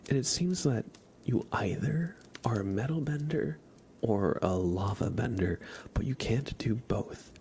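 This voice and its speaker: A man chatting casually and softly as an ASMR livestream host, often slipping into a whisper. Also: mid-range voice, expressive, unhurried, slight background noise. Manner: soft-spoken, often dropping into a whisper. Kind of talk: ASMR, casual rambling chat with live viewers.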